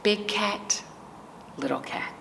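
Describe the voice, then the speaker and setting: A woman librarian hosting a virtual storytime, speaking gently to children, asking them simple questions and reading a picture book aloud. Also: medium pitch, slow pace, vocal fry, a little hiss or hum.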